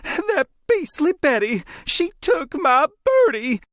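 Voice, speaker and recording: A man voicing a tearful young farm woman, wailing high and loud. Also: big pitch swings, takes it slowly, dry recording, studio quality, full character voice. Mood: distraught.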